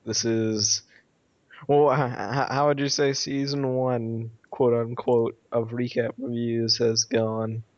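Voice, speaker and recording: A man speaks casually as a podcast host, guiding the chat along. His pitch is average. The recording has no room sound and is a little muffled and lo-fi. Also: little pitch movement, slow pace, no echo. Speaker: podcast host steering topics.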